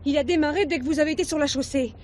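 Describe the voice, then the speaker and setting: An actress playing an anxious, exasperated niece, urgent and pleading, in a French television drama. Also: female voice, high voice, racing, darkish tone, close to an everyday voice. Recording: noisy street with traffic.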